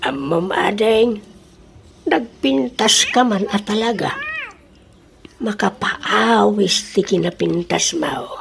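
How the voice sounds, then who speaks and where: Radio comedy: lecherous aging landlord played by a woman, unhurried, coaxing speech, medium register, slightly noisy recording. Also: medium pitch, lively intonation, vocal fry. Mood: flirtatious. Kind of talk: comedic Ilocano radio drama acting.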